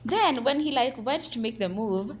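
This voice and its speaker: A young woman telling a personal story in a lively, dramatic way and dropping in Swahili words. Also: a little high, moderate pace, a little hiss or hum. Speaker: storyteller guest clip.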